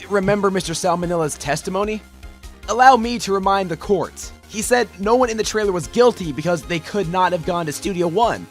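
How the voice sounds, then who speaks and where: Casting: male actor as young defense attorney for a game fandub, high voice, earnest, declamatory, music underneath. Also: expressive, a little fast. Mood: pointed, confident. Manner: declamatory courtroom argument. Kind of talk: amateur anime-game fandub acting.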